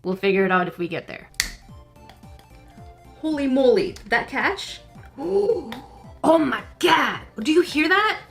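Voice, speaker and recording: A woman's voice: high-pitched, very animated, slow, gravelly, long pauses, soft background music. Playful woman chatting through a craft test. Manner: playful, chatty, jokey asides. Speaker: craft channel host. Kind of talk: casual craft-kit test vlog. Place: home craft room.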